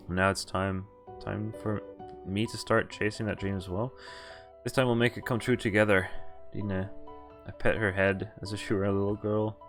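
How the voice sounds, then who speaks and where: Low-voiced man streaming a game, music behind. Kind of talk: live visual novel playthrough, reading text aloud with casual reactions.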